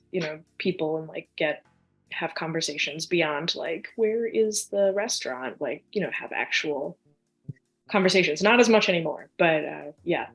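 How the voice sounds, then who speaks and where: A chatty young woman from near Chicago, talking easily as a podcast guest. Her voice sits a little low, and she keeps slipping into quoted asides. A faint bed of music plays under her. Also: rough recording. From United States, near Chicago. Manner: chatty and animated, lots of fillers and quoted asides. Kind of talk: casual conversational interview.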